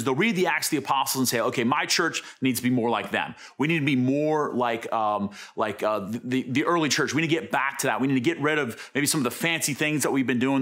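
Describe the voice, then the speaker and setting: Thirtyish priest guy teaching to camera, lively and gesturing, lots of ums and restarts, studio mic. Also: medium pitch. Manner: animated, gesturing, frequent fillers and restarts. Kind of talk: unscripted teaching talk to camera. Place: dark indoor studio with brick wall.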